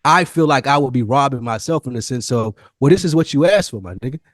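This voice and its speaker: A man's voice: medium pitch, expressive, quick pace, no echo, clean studio sound. A man co-hosting a podcast, telling stories and reflecting candidly in casual, slangy language with some swearing. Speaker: podcast co-host.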